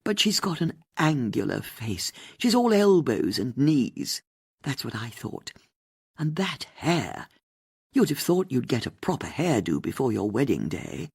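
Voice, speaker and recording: An older, upper-class English lady as acted out by an audiobook narrator, haughty and easily indignant. Her delivery is very lively and swoops up and down. She gives out brazen opinions with open disdain. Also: medium pitch, measured pace, creaky, slightly rough, full character voice. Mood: indignant, disdainful. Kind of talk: audiobook character dialogue.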